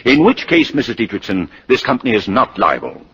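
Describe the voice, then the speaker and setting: A man acting a pompous, smug insurance boss with a husky voice in 1950s radio drama style. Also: mid-range voice, very animated, fairly quick, fairly bright tone, exaggerated voice. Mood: pressing, smug. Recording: muffled vintage radio broadcast.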